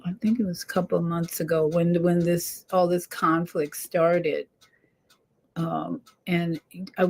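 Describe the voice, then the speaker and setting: A woman's voice: lowish voice, mellow. A woman hosting a talk show, speaking informally and earnestly to her viewers in an encouraging, conversational way. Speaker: show host. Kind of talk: informal monologue to viewers and interview.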